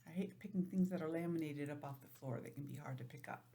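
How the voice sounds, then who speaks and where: A woman teacher giving an informal, encouraging lesson to young children, sounding out letters and counting deliberately. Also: deep voice, dark tone. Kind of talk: children's lesson, read-aloud introduction.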